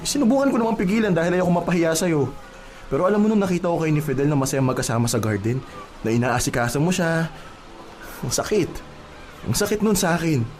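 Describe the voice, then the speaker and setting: A man's voice: on the high side, a little mellow, slight background noise, close to an everyday voice. A man playing a remorseful, lovesick young suitor in a radio drama, earnest, hesitant and pleading.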